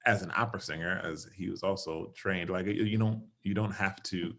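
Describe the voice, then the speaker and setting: A man's voice: low-pitched, a little fast. A man being interviewed over a video call, talking casually and animatedly, laughing often and acting out what he said. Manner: animated, laughs often, quotes himself in lively bursts. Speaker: interview guest, stage actor and singer.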